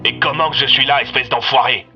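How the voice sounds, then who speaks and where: A man's voice: mid-range voice, fairly even intonation, a little fast, lo-fi sound, over music, pitched above the performer's own voice, close to an everyday voice. A man voicing a short-tempered, sardonic police inspector in an audio drama, curt and coarse. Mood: defiant.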